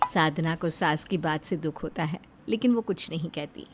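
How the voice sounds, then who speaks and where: A woman's voice: deep voice, normal speed, over music. A woman reading a scripted family story as a narrator.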